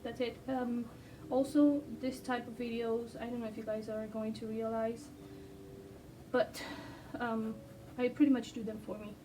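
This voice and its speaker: A woman who speaks English as a second language, rambling hesitantly with many pauses as she sketches. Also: fairly high pitch, flat delivery, background noise. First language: not English. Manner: hesitant, many ums, self-deprecating. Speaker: artist sketching on camera. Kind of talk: casual rambling vlog while drawing. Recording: distant mic with background music.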